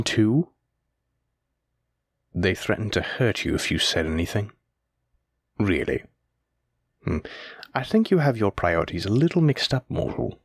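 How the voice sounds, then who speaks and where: A man playing a stern, teasing demon lord in a scripted audio roleplay, speaking in a measured, commanding way. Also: on the low side, no room sound, clean studio sound.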